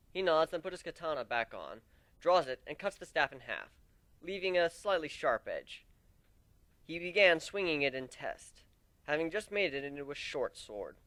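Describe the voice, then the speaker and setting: Higher-voiced man reading a story dramatically. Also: a bit bright, no echo. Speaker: story narrator. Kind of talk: amateur dramatic story reading, first-person.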